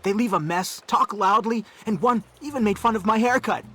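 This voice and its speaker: A man's voice: sits high, normal speed, pushed and bright, breathy edge, exaggerated voice. A man playing an earnest, timid young man in a video game, apologetic, fretful and given to complaining. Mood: apologetic, put out. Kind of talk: video game character acting.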